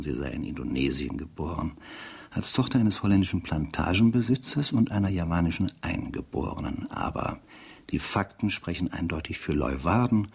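A wry male storyteller for a radio play, thinking aloud as he goes. His voice is very deep, heavily husky and full of creak. The delivery is dry, sardonic and conversational. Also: darkish tone, full character voice. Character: dry, sardonic male investigator-narrator reading the files. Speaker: narrator.

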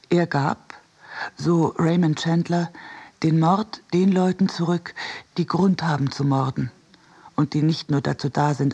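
A man reading as a reflective, wry essayist in a measured, precise literary radio narration. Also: on the high side, darkish tone, full character voice. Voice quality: husky, creaky. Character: reflective essayist and cinema-goer, wryly critical.

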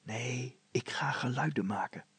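A man voicing an eager, mischievous boy who speaks to his father in confident, hushed whispers. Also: medium pitch, measured pace, slightly rough, no room sound.

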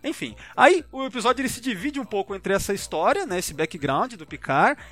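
A man's voice: high voice, sing-song, a little fast, fairly bright tone. A Brazilian man hosting a casual podcast, talking in an informal, slangy, rambling way as he comments on episodes. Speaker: podcast host.

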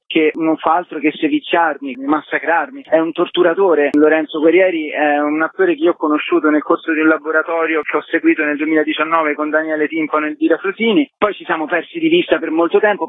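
Male theatre guest, higher-pitched, ironic and conversational, heard over a thin telephone-like connection. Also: no room sound. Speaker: theatre actor and playwright interviewed as guest. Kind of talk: conversational radio interview. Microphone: phone call to a radio show. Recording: phone-like narrow-band line.

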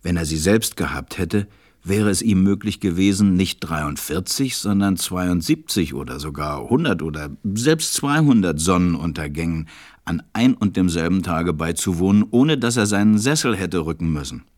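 An actor serving as the narrator of a radio play, reading a children's story in a measured, scripted way. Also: male voice, low-pitched, expressive, darkish tone. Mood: measured narration.

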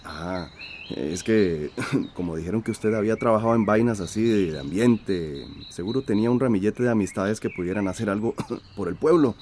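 An actor playing a shy but persistent village suitor in a radio drama, hesitant and ingratiating, with a Central American accent. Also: male voice, on the low side, normal speed, a little mellow, lightly acted. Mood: bashful, flattering. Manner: hesitant, throat-clearing, ingratiating. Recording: faint outdoor ambience noise.

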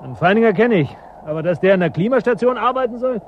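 Radio drama: gruff suspicious townsman, fast and mocking, dull recording with outdoor noise. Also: high voice, animated. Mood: brusque. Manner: brusque, mocking. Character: gruff, suspicious local man in an icy storm.

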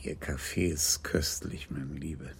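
An actor playing an elderly police commissioner in a radio play, courteous, dry and measured, with a gravelly, husky voice. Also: male voice, very deep voice, fairly even intonation, exaggerated voice. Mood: gallant.

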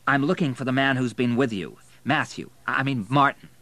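Man playing an earnest, anxious man, bright voice. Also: medium pitch, moderate pace, dry recording, voice pushed up, lightly acted. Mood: impatient. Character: earnest, anxious, loyal man, sober and patient.

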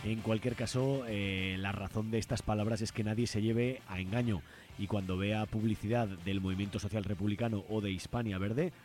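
Low-pitched Spanish man on radio. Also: fairly even intonation, normal speed, darkish tone. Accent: Spain. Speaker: radio show host. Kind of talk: radio commentary and announcements.

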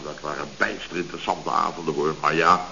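A man's voice: very deep voice, little pitch movement, brisk, vocal fry, sharp tone, full character voice. A middle-aged company boss from a 1970s radio comedy, full of bluster and easily muddled. He rambles, restarts and repeats himself with great self-importance. The old recording is dull, with a faint hiss behind him. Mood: pompous reminiscing. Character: blustering, scatterbrained middle-aged male building-firm boss. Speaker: actor in a radio comedy serial.

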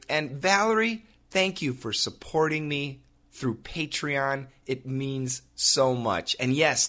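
A man, hosting an English-learning podcast and reading out listener comments in an upbeat, animated way. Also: medium pitch. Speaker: podcast host and English teacher.

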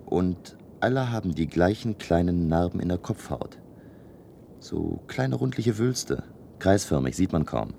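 An actor playing an indignant, baffled male space crewman in a German radio play, halting and emphatic. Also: low voice, lively intonation, creaky, mellow, a little hiss or hum, exaggerated voice. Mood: hesitant, secretive. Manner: halting, repetitive, emphatic outbursts.

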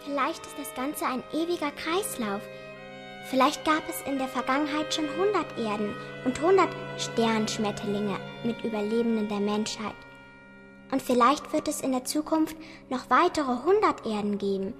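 A woman's voice: sits high, expressive, normal speed, over music. An actress playing a curious, thoughtful nine-year-old girl in a radio drama, with an eager, questioning manner.

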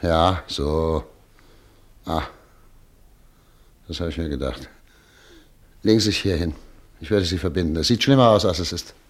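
Calm doctor, deep voice, measured, kindly, radio drama. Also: male voice. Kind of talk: radio play acting.

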